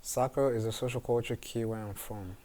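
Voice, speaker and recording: A young man from Uganda reading his own memoir aloud in an earnest, measured way. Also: mid-range voice, fairly even intonation, dark tone. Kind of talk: read memoir narration.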